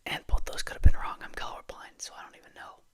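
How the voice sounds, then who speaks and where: An ASMR host talking casually and hesitantly in a soft, breathy, husky whisper, rambling in a chatty way. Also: quick pace. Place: a room at home.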